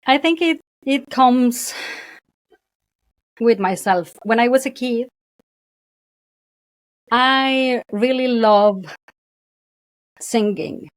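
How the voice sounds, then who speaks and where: Voice coach lady, kinda high voice, dry sound, tells her story openly. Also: extremely slow, pauses often, no echo, poor audio. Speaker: guest voice coach. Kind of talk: interview.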